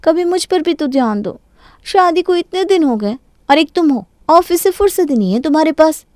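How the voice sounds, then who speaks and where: A woman's voice: high voice, expressive, moderate pace, darkish tone, no room sound, studio quality. A woman voicing a sly, sweetly apologetic young daughter-in-law in a Hindi cartoon story. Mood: coaxing. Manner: mock-innocent, pleading, sugary.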